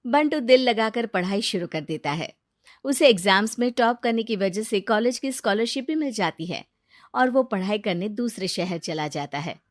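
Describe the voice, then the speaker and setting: A woman's voice: medium pitch, expressive, moderate pace, no room sound, clean studio sound. A woman narrating a scripted moral story for a cartoon.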